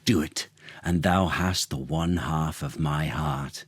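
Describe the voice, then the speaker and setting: Jealous storybook king, acted by a male reader: low-pitched, deliberate, cruel and seething. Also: husky, no room sound, clean studio sound, full character voice. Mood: menacing. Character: jealous, furious king turning cruel and menacing.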